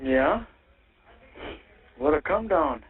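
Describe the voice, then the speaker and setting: A man's voice: on the high side, lively intonation, drawn-out words, breathy edge. A man in a casual phone conversation, speaking plainly and tersely over a recorded phone line. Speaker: receiver of a jail phone call.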